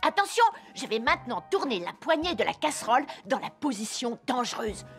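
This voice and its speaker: A woman's voice: fairly high pitch, sing-song, a little fast, a bit bright, faint music behind. An actress voicing a bossy, showy daredevil boy in a children's cartoon dub.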